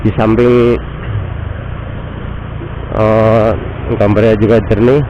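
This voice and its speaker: A man's voice: on the low side, highs cut off, slight background noise. Stuffy-nosed man on a motorbike. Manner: relaxed, chatty, unhurried. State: nasal from a cold. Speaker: motovlogger. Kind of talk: casual motovlog chat while riding. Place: on a motorbike in city traffic. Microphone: action camera mic.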